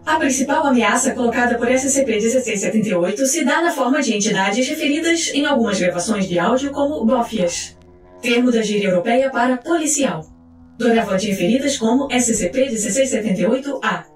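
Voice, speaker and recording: Female narrator, high register, clinical and formal scripted delivery, noticeably roomy echo. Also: animated, a little fast. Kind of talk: scripted documentary-style narration of fiction. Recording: roomy echo, faint music behind. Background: faint music.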